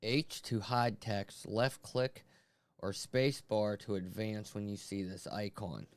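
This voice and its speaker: A man's voice: fairly low pitch, steady tone, slow pace, no room sound. A man doing casual let's-play commentary, reading screen text aloud between informal, sweary asides. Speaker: host playing through a visual novel.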